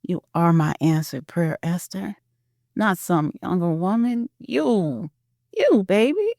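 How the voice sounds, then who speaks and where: A woman playing a devoted, romantic middle-aged husband in an audio drama, speaking softly and earnestly. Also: fairly low pitch, big pitch swings, takes it slowly, no room sound, studio quality, voice pushed up, heavily acted. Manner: soft, earnest, intimate.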